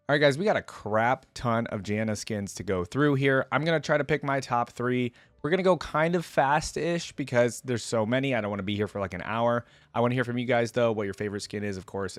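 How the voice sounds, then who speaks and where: A man's voice: mid-range voice, no echo. A man giving casual, informal reaction commentary with gamer slang, hosting for viewers. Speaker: host reacting to game content.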